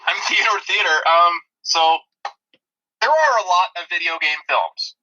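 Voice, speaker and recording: A man's voice: sits high, lively intonation, unhurried, bright, forceful tone, highs cut off. A man co-hosting a movie review podcast, chatting in a dry, disparaging way. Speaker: podcast co-host.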